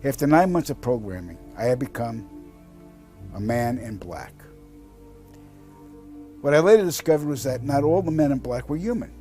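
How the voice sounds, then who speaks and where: A man narrating a first-person account in a measured storytelling style. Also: medium pitch, animated, warm tone, soft background music. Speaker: testimonial narrator.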